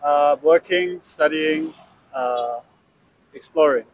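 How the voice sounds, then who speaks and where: A man's voice: on the high side, slow, a little hiss or hum, low-quality recording. Laid-back young man. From South Africa. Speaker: interviewee, graphic designer. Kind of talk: street interview answers. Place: outdoor city street. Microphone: handheld mic held toward him.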